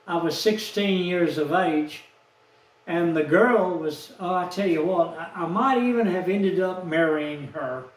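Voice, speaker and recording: A man, telling a rambling personal story in a slow, aggrieved, folksy way. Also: high voice, distant mic. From Texas, USA. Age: 70s. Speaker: vlogger. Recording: echoey room, off-mic.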